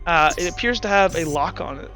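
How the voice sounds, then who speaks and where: A man running a tabletop roleplaying game as game master, talking casually and haltingly as he thinks aloud. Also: a little high, expressive, music in the background.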